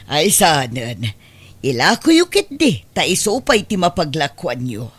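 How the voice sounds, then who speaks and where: An actress playing a generous, well-off madam in an Ilocano drama, gracious and unhurried, with an Ilocano Filipino accent. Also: female voice, deep voice, huge pitch swings, full character voice. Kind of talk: Ilocano radio-style drama acting.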